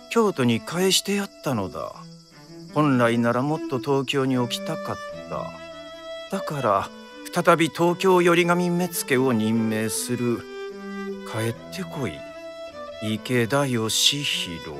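An actor playing a refined, wry Kyoto gentleman with a Kyoto accent, polite and musing, in a radio drama. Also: mid-range voice.

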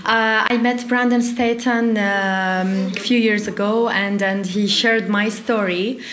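A woman in her twenties with a Middle Eastern accent, giving earnest, slightly halting answers as an interview guest. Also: mid-range voice, fairly even intonation, a bit bright. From Iraq, later Syria and Turkey. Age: 20s. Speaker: interview guest, refugee youth delegate. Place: small indoor interview set. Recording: roomy, echoey sound.

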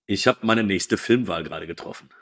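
A man with a lower, husky voice co-hosts a relaxed film podcast. He gives earnest opinions and protests with good humor when his co-hosts tease him. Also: fairly quick. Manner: earnest, protesting, laughs along. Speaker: podcast co-host. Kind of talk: casual film podcast chat.

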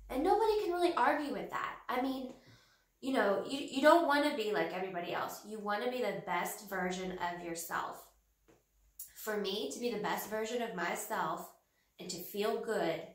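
A woman in her thirties, a little nasal from a cold, talking earnestly and hesitantly to the camera from a small home office. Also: mid-range voice. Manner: earnest, slow and hesitant with many fillers. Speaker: vlogger. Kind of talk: casual talk to camera. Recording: roomy, slightly distant camera audio.

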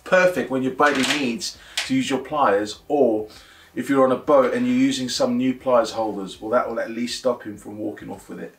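A man's voice: mid-range voice, normal speed, creaky, a little hiss or hum. A man in his forties presenting a scripted product review to camera, explaining things and posing his own questions. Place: indoor workshop studio. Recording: roomy, echoey indoor sound.

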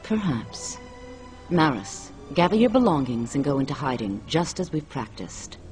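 A woman playing a calm, resolute alien Jedi master in a video game, with a measured, authoritative delivery. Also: rumbling low, soft background music. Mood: firm, grave. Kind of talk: cinematic video game acting.

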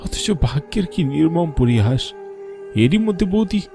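A man's voice: on the high side, expressive, unhurried, creaky, mellow, faint music behind. A man voicing a devoted younger brother who narrates a cartoon story in an emotional, literary, sorrowful way. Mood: shocked, grieving. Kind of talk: dramatic first-person cartoon narration.

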